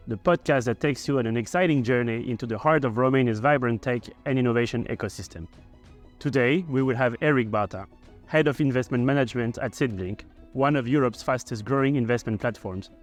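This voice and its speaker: A man's voice: medium pitch, brisk, faint music behind. A man hosting a podcast, introducing and questioning a guest with frequent fillers. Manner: frequent "uh" and "you know" fillers. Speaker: podcast host. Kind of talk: podcast interview.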